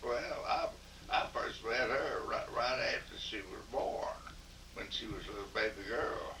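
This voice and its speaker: An eighty-year-old man from Louisiana, casually answering family interview questions in a slow, joking, folksy way. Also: on the low side, sharp tone. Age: 80s. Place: living room at home. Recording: home camcorder audio, far from mic, faint noise.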